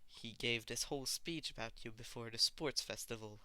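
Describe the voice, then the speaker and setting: A man's voice: medium pitch, moderate pace, pushed and bright, dry recording. A man voicing a serious, stubborn teenage boy who speaks tersely in a story reading. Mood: solemn.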